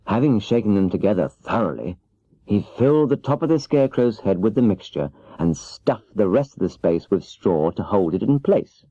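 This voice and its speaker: A man's voice: lowish voice, very animated, moderate pace, warm tone, no room sound. A man reading a children's storybook aloud as a scripted audiobook narration. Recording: dull, lo-fi cassette transfer.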